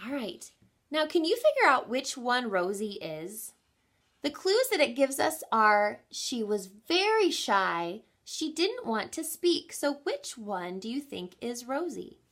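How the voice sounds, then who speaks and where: A woman reading a children's picture book aloud as a teacher, expressively and with deliberate emphasis, speaking in an encouraging way as if to young listeners. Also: fairly high pitch, very animated, fairly bright tone. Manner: encouraging, addresses children with questions and explanations.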